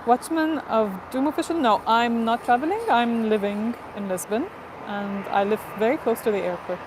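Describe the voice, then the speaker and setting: A woman's voice: on the high side, moderate pace. A woman hosting a casual live stream outdoors near an airport, chatting with viewers in accented English and laughing often. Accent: non-native English, neither Portuguese nor Spanish. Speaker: livestream host. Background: traffic and ambient noise.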